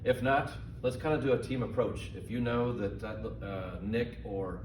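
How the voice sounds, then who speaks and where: A man giving informal safety training instructions in a deliberate, simple, step-by-step manner. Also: lowish voice. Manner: slow, deliberate, simple phrasing. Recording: echoey, distant mic, noisy room.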